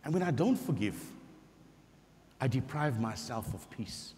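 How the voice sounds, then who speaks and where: A middle-aged man, a parish priest, preaching a sermon in a large church with emphatic pauses and voiced scripture quotes. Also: medium pitch, very animated, mellow, slight background noise. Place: large church sanctuary before the altar. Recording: long church reverb.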